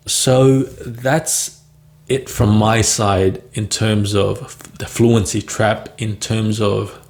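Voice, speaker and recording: A man's voice: on the low side, gravelly, a little mellow. A man who stutters, talking in a casual, free-flowing vlog, with blocks, repeated words and many "right?" tags. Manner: frequent "right?" and "um" fillers. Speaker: vlogger on stuttering.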